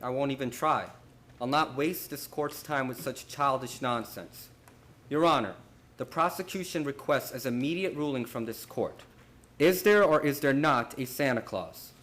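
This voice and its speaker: An actor playing a harried, indignant prosecutor in a radio play, speaking emphatically. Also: male voice, mid-range voice, normal speed, a bit bright, natural voice. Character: harried, exasperated male prosecutor. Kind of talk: stage-like radio play acting.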